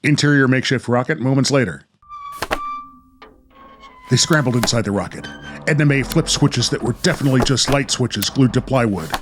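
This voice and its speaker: A man's voice: medium pitch, fairly quick, pauses often, noisy background. A man working as host and narrator of a radio play, moving between storytelling and casual talk.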